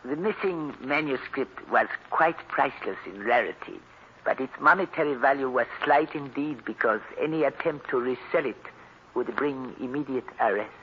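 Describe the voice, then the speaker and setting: An actor playing a courtly, guarded scholar in an old radio drama, with ornate, precise and formal speech. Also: male voice, mid-range voice, normal speed, natural voice. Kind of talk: 1940s radio acting. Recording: hissy, dull old radio recording.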